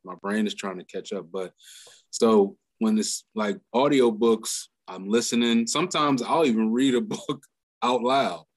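A man talking casually as a podcast guest, halting with fillers and laughing often. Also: lowish voice.

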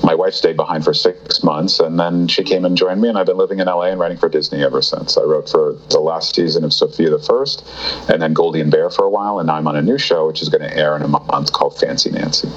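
Deep-voiced man rambling through his story. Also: fairly even intonation, quick pace, dull audio. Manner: rambling, restarts, many fillers. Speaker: guest, TV writer. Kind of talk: casual interview storytelling.

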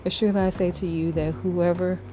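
A low female voice reads scripture aloud for a devotional podcast, with little rise and fall in pitch. She goes phrase by phrase in a halting way, and music plays clearly behind her. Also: level tone, warm tone, rough recording. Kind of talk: devotional Bible reading. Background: music under the voice.

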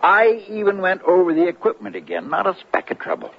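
An actor playing an earnest, excitable CB radio hobbyist who tells his story urgently, stammering, in 1970s radio drama style. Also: high voice, brisk, creaky, husky, exaggerated voice. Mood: flustered. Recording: dull lo-fi radio broadcast with music.

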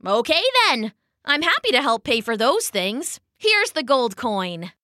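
A woman's voice: on the high side, big pitch swings, a little slow, pushed and bright, no echo, clean studio sound, exaggerated voice. A woman voicing a cheerful, polite and determined little male duck for a children's storybook, with an eager, quacking way of talking. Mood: eager, agreeable. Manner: quacking chant, simple and eager.

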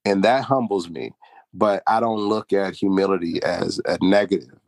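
A man's voice: low voice, moderate pace, vocal fry, no echo. A young man talking earnestly and reflectively about pride and humility in a casual podcast chat. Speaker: podcast co-host.

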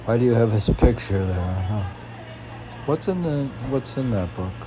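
A man's voice: mid-range voice, expressive, moderate pace, mellow, slight background noise, poor audio. A man reading poetry and commenting on it in rambling, casual asides, often as if talking to himself. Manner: talks to himself in questioning asides. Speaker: poet reading and commenting on own poems.

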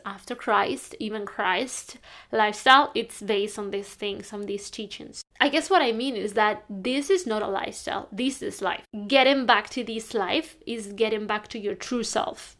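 A woman's voice: fairly high pitch, a bit bright. A young woman from northern Spain, talking earnestly and rambling a bit in a casual monologue. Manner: earnest, rambling, self-correcting. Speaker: lifestyle podcaster.

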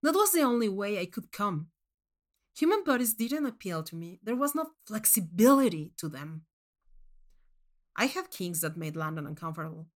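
Female story narrator, medium pitch, animated and dramatic first-person read. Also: moderate pace.

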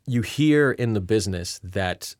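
Middle-aged actor guest from Rhode Island, low voice, reflective and sincere. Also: male voice, expressive, no echo, clean studio sound. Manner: earnest and reflective, drawn-out words. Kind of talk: relaxed interview talk.

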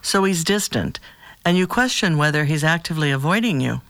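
A woman playing a calm, patient therapist who asks probing questions in a measured, formal way in an audio drama. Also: low voice, no echo.

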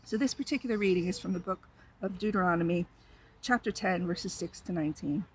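A speaker gives an earnest introduction, then reads a scripture passage aloud with steady emphasis. A faint hiss sits behind the voice. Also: mid-range voice, fairly quick, low-quality recording. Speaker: scripture reader at a service.

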